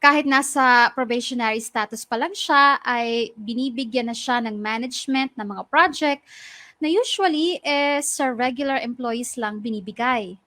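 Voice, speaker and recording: A woman's voice: fairly high pitch, pushed and bright, no room sound. A woman playing a devoted girlfriend, narrating her love story in a heartfelt radio drama style.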